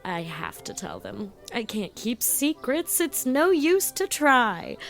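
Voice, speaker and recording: A gushing, vain young student. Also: female voice, fairly high pitch, very animated, takes it slowly, a bit bright, soft background music, heavily acted. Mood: chatty. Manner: gushing, breathless chatter with playful emphasis. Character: chatty, vain, indecisive young woman student. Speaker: voice actor. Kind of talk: audio drama acting.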